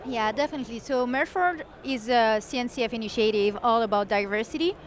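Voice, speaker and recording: A woman guest at a conference interview, explaining her community work eagerly in quick bursts. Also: fairly high pitch, a little hiss or hum. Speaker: software engineer and community guest.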